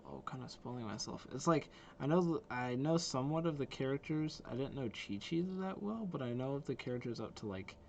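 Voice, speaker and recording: A man's voice: mid-range voice, expressive, fairly quick, gravelly, few pauses, slight background noise. A man giving easygoing, chatty reaction commentary as a video creator watching game trailers.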